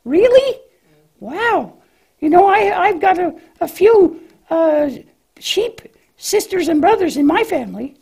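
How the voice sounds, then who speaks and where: A woman's voice: very high voice, big pitch swings, slow, gravelly, mellow, exaggerated voice. A woman voicing a cheerful, naive young lamb in a children's church puppet show, hesitant and questioning in a childlike way. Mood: chatty, naive.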